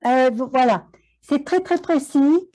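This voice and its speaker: High, mellow-voiced woman asking a question. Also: lively intonation, low-quality recording. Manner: chatty, pauses for the interpreter. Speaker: audience member asking a question. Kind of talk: question in an online Q&A.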